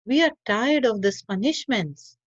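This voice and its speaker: A woman's voice: on the high side, expressive, measured pace, highs cut off, pitched above the performer's own voice. A woman voicing a fed-up royal worker who protests to a tyrant king in a children's storybook. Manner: exasperated, protesting.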